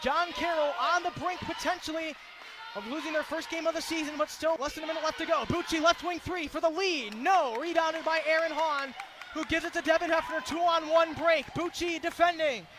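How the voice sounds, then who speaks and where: A man doing excited, projected radio play-by-play of basketball in short, clipped, rapid-fire phrases. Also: extremely high pitch. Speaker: play-by-play commentator. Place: indoor basketball arena. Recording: loud crowd and gym noise. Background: crowd noise and bouncing basketball.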